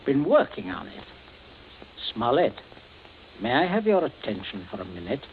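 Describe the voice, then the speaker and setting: An actor playing a middle-aged family patriarch, genteel and scheming, in old-time radio drama style, with clipped politeness and nervous joviality. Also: male voice, mid-range voice, sing-song, moderate pace, close to an everyday voice. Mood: cold, menacing. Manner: stammering, forced chuckles, clipped politeness. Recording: muffled, noisy vintage radio recording.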